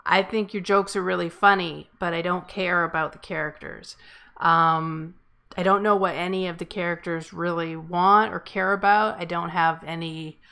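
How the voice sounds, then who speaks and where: Bright, creaky-voiced woman hosting. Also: fairly low pitch, fairly even intonation, normal speed. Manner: encouraging but frank. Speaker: host and amateur screenwriter giving feedback. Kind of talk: casual spoken feedback.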